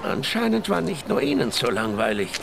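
An actor playing a curt, guarded middle-aged horror novelist in a radio drama. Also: male voice, on the high side, big pitch swings, moderate pace, gravelly, background noise, heavily acted. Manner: curt, dry, dismissive. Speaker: retired bestselling author. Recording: studio radio drama with music.

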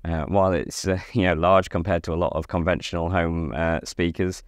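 A man's voice: low voice, steady tone, a little fast, dry recording, clean studio sound. A middle-aged man explaining a product in an unscripted interview, hesitant and often repeating words as he goes. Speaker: loudspeaker company spokesman. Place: dim indoor demo room, seated.

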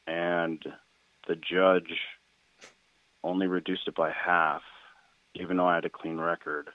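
A man's voice: sits low, level tone, measured pace, dry recording. An American man calling in over a tinny phone line, casually telling a personal story. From Washington state, United States. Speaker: caller. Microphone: phone call.

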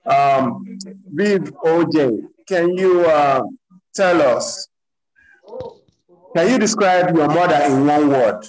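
A man with a slightly high voice leads a panel discussion over a video call, calling on speakers by name in a measured, polite way. His voice carries a noticeable room echo. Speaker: guest moderator.

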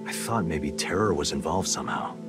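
A laid-back male special agent from a video game, played by an actor, with a low voice. He delivers dry jokes and explanations in the same deadpan way, over prominent music. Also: a little fast, natural voice. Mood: earnest recounting. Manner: deadpan, dryly joking.

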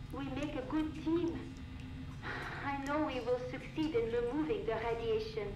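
An actress playing a frank, pragmatic woman doctor who is direct and questioning, in a video game audio log. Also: sits high. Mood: earnest, persuasive. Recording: roomy, noisy cassette tape recording.

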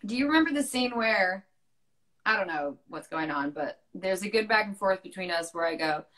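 A young woman chatting casually on a livestream video call, bubbly and quick to laugh, speaking into a phone held at arm's length. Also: medium pitch, lively intonation, fairly bright tone. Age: young adult. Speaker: livestream co-host. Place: bright room indoors.

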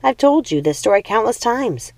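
A woman's voice: a little high, big pitch swings, normal speed, vocal fry, darkish tone, no echo, pitched above the performer's own voice. A woman voicing a restless, frustrated young witch daughter in a storybook, earnest and insistent. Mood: exasperated.

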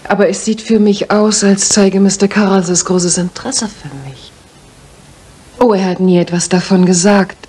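An actress in a German radio drama playing an anxious young music teacher, polite, hesitant and breathy in places. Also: female voice, mid-range voice, moderate pace, mellow, natural voice. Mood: hesitant, earnest. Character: anxious young female music teacher seeking a detective's help.

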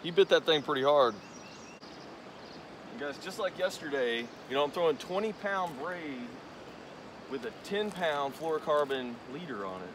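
A man's voice: fairly high pitch, noisy background. Chatty young man fishing by a river. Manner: relaxed, chatty, explains while casting. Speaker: fishing channel host. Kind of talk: casual fishing vlog narration. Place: riverbank outdoors by a bridge. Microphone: tripod camera at a distance. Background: rushing river current.

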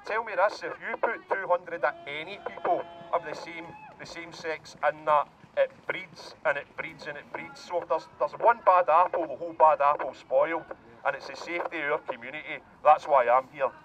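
A man's voice: on the high side, moderate pace, fairly bright tone, lo-fi sound. A middle-aged man with an emphatic, repetitive delivery, addressing a protest outdoors through a megaphone. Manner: emphatic, repeats points, rallying. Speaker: local protest speaker. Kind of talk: street protest speech. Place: outdoors by a hotel car park. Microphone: handheld megaphone. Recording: distant outdoor megaphone, crowd noise.

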